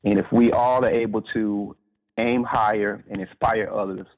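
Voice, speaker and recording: A man's voice: lowish voice, steady tone, normal speed. A man being interviewed as an author, talking casually and earnestly and searching for his words, on slightly dull audio.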